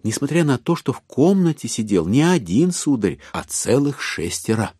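An actor as the narrator of an audio play, telling a literary story with dry irony. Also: male voice, mid-range voice, very animated, normal speed, dark tone, husky. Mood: wry narration.

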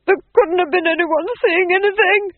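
A man voicing a cruel, nervous, guilt-ridden Duke in a storybook reading, prone to shrill paranoid outbursts. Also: steady tone, moderate pace, no room sound, narrow, tinny audio. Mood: panicked, shrieking.

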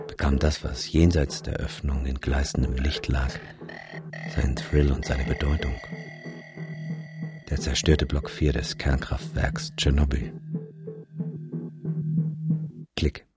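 Wry photographer, extremely low voice, laconic, radio play monologue, music underneath. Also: male voice. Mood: wry recollection. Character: sombre, sardonic male photographer recalling a disaster.

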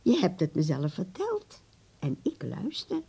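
Radio drama: frail, wise grandmother, very breathy and mellow, unhurried, tenderly firm. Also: on the low side, huge pitch swings, exaggerated voice. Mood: gently admonishing. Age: elderly. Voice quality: husky, breathy, creaky old voice. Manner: slow, deliberate, with repeated words.

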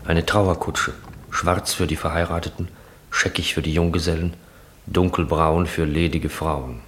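An actor playing the sober, observant narrator of a German radio play, describing scenes in a measured way. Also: male voice, deep voice, steady tone, fairly bright tone. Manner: measured, descriptive, present-tense. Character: sober, observant male narrator of a funeral procession. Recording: noisy old radio drama recording.

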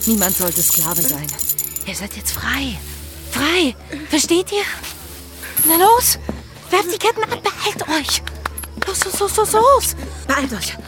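A rebellious young gentlewoman in a radio play. Her high voice is fierce and commanding, swinging widely, over clearly audible music. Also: very animated, slow, long pauses, exaggerated voice. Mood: urgent, rousing. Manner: urgent, commanding, defiant. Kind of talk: radio drama acting.

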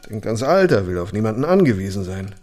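A man playing a worldly, roguish ex-convict with a soft spot in a radio drama, talking casually in slang with a husky, gravelly voice. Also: fairly low pitch, sing-song, quick pace. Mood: amused, affectionate. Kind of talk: naturalistic radio drama acting.

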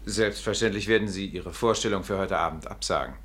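A man's voice: low voice, a little fast, a bit bright, husky. A man playing a formally polite, insistent doctor who runs an institution, in a 1950s radio drama. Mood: solicitous. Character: courteous, insistent, suspicious doctor running an institution. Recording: noisy old 1950s radio recording.

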